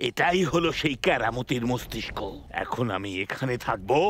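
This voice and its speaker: A man's voice: medium pitch, lively intonation, normal speed, a bit bright, a little hiss or hum, natural voice. A man voicing a scheming, gloating mad villain in a theatrical, menacing and commanding way for a children's cartoon dub.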